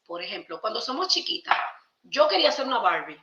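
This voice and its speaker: A woman's voice: fairly high pitch, very animated, brisk, very noisy. A woman with a Dominican accent talking conversationally and emphatically as a podcast guest, explaining spiritual ideas. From Dominican Republic. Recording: roomy echo, slightly dull sound.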